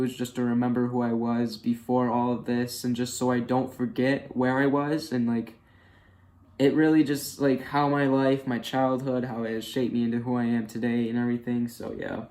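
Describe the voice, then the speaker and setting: A man's voice: medium pitch, darkish tone. A twenty-one-year-old man chatting candidly in a casual personal vlog, with many fillers. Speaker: vlogger.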